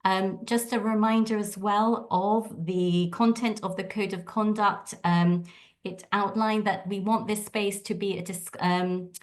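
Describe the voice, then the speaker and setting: A woman's voice: mid-range voice, fairly even intonation, unhurried. Woman reading housekeeping notes with many ums. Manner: friendly, with many filler ums. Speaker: event co-host. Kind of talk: housekeeping announcements. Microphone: video call.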